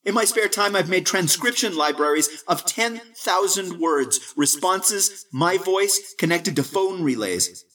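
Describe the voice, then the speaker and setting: A man voicing a cocky young man of twenty, mocking and lively in his talk. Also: high voice, a little slow, recorded from a distance, voice pushed up, heavily acted. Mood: breezy.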